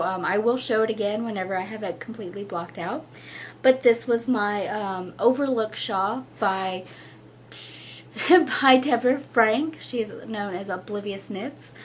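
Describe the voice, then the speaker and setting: Young woman w/ a husky hoarse voice, chatty knitting host, faint room noise behind. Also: fairly high pitch. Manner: chatty, apologetic, with frequent fillers and little laughs. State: hoarse, losing her voice. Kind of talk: casual chat to camera.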